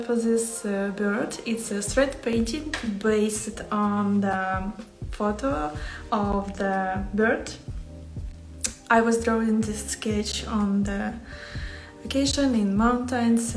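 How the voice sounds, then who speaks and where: A woman's voice: medium pitch, reverberant. A woman from Ukraine with a Ukrainian accent, chatting casually and a little hesitantly to livestream viewers as she talks about her craft. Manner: hesitant, searching for words. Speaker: embroidery artist hosting a livestream. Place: small home workspace indoors.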